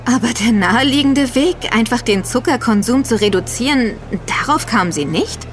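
A woman's voice: on the high side, expressive, normal speed, faint music behind, close to an everyday voice. A woman playing a sceptical, alert investigator in a German audio drama, with a questioning, critical manner. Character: sceptical, alert female member of investigative team.

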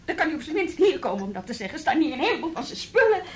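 An actress playing a proud, wounded young woman in a radio drama, speaking haltingly and with indignant emphasis. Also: high-pitched, very animated. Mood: defiant, exasperated. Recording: noisy radio play recording.

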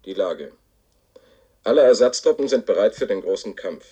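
An actor playing a stern authoritarian radio announcer of a war regime, declaiming commands in a clipped official manner in a radio drama. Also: male voice, on the low side, animated, moderate pace, dark tone, slightly rough. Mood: cold, official. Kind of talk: 1970s radio drama propaganda broadcast.

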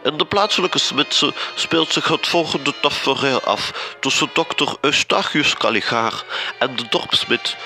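Radio drama narrator played by a man: solemn, deadpan, unhurried gothic storytelling over prominent music. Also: mid-range voice, close to an everyday voice.

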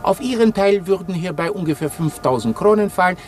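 A man's voice: sits high, expressive, brisk, darkish tone, soft background music. An actor playing an ingratiating clergyman nephew reading his letters in a radio drama, formal and flowery in manner. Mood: persuasive.

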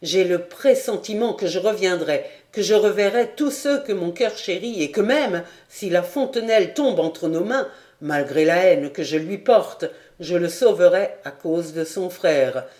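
A woman's voice: on the low side, animated, unhurried, darkish tone. Give me a woman reading as a resolute young nobleman speaking earnestly in a storybook. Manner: earnest, declaiming.

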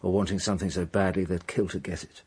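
An actor playing a world-weary, fatherly police inspector in a radio drama, a middle-aged man with a husky voice and a measured, probing manner. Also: deep voice, fairly even intonation, darkish tone. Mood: grave, explaining.

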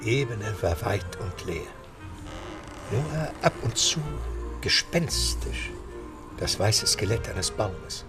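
A man's voice: lowish voice, lively intonation, takes it slowly, music in the background. A man narrating a scripted audio drama as storyteller.

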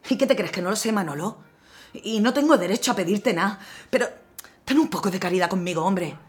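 A woman's voice: medium pitch, lively intonation, brisk, slightly rough. Actress playing a determined young militia woman. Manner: determined, teasing. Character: stubborn young woman militia fighter Lina.

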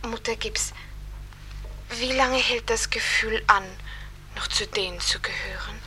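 An actress playing a wistful, restless young farm wife newly dead, in a radio drama. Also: female voice, fairly high pitch, measured pace, pushed and bright, a little hiss or hum. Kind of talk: theatrical radio drama acting.

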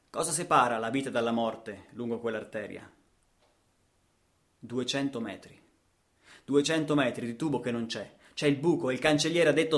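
Italian male actor, mid-pitched voice, theatrical storytelling, ironic, emphatic, rhetorical questions, satirical monologue. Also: fairly bright tone.

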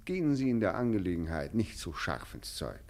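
A man's voice: sits low, big pitch swings, brisk, creaky, darkish tone, exaggerated voice. A man acting in a German radio play as a lofty, evasive police chief, speaking in a commanding, condescending way. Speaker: actor.

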